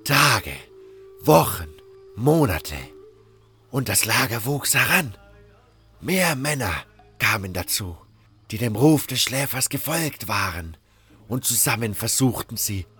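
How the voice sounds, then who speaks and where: Man acting a slow, gravelly cult guru. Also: medium pitch, a bit bright, full character voice. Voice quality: gravelly, husky. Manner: measured, solemn. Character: solemn cult guru preaching his faith. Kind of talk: fantasy audio drama monologue, sermon-like.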